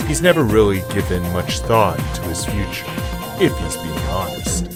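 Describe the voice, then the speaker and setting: A man's voice: sits low, big pitch swings, moderate pace, over loud music. A man narrating a scripted fan audio drama.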